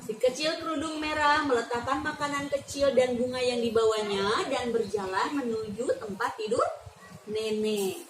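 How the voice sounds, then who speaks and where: A young woman reading a fairy tale aloud to children, lively and often stopping to ask them questions. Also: a little high, normal speed. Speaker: student teacher. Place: classroom. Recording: roomy classroom from far off, background noise.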